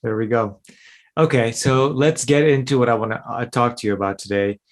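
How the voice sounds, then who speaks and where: A man's voice: medium pitch, brisk. A man hosting a podcast, asking questions in a conversational way with short encouraging responses. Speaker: podcast host. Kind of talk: interview questions.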